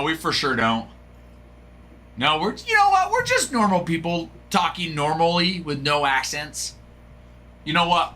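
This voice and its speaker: A man's voice: on the high side, pushed and bright. A middle-aged man hosting a live card break, chatting casually and rambling to his viewers. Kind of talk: casual live-stream chat.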